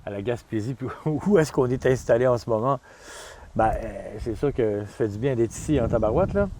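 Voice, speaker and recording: A man's voice: mid-range voice, animated, moderate pace, a little mellow, slight background noise. A man with a Montreal Quebec French accent, talking casually as an interview guest, informal and anecdotal, repeating himself for emphasis. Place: outdoors in the Gaspé region.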